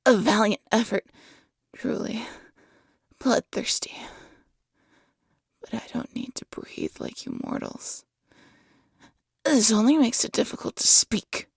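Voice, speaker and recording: A woman playing a proud, vengeful demon queen in an audio roleplay, theatrical and menacing, often dropping to a breathy hush. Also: a little high, slow, pauses often, dry recording, whispered, clean studio sound, exaggerated voice. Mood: strained, taunting. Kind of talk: scripted audio roleplay addressed to listener.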